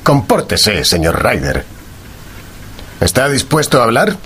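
An actor playing a stern, sardonic detective in a radio drama, commanding and measured, with a husky voice. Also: male voice, medium pitch, huge pitch swings, a bit bright, slight background noise. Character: stern, sardonic male detective questioning a culprit.

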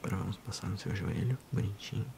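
A man in his thirties with a Brazilian accent, speaking softly and unhurriedly in an ASMR role-play as a physiotherapist addressing the listener. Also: fairly low pitch, vocal fry, background noise. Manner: soft, unhurried, hushed.

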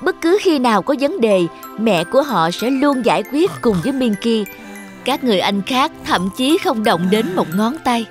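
Female narrator, scripted children's storytelling, slightly high pitch, tinkly music behind. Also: big pitch swings, moderate pace. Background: jingly children's music.

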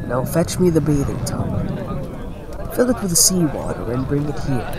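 A man's voice: on the high side, exaggerated voice. A man playing a commanding, roguish yet protective pirate captain with nautical slang in an audio roleplay. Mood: measured, authoritative. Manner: authoritative, uses nautical slang. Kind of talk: dramatic audio roleplay acting. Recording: clear background ambience noise.

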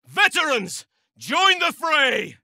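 An actor playing a wise, gentle and steadfast elf druid in a fantasy video game, speaking in measured, formal phrases. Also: male voice, very high voice, sing-song, dry recording, clean studio sound, exaggerated voice. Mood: fierce battle cries. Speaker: video game companion character. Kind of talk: acted fantasy game dialogue.

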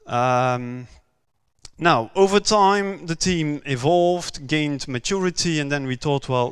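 A man from Belgium, for whom English is not his first language, giving a conference talk on a stage with many pauses, "um"s and repeated words. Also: mid-range voice, clear, resonant tone. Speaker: conference speaker.

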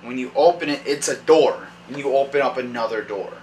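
A young man narrating as dungeon master at a kitchen table, reading room descriptions haltingly and thinking aloud. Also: medium pitch, darkish tone, slight background noise, poor audio. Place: dim home kitchen at a table. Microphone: far camera.

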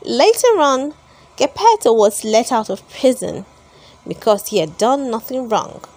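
A woman reads a storybook aloud for children. Her voice is high and very lively in its melody. She goes slowly and deliberately, phrase by phrase, as an expressive storyteller. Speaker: storybook reader.

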